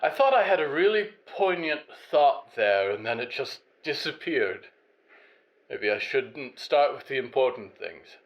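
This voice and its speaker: A man's voice: medium pitch, animated, measured pace, dull audio. A man voicing a vain, fallen corporate diva, a woman who rambles theatrically in an audio drama monologue. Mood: musing, scattered.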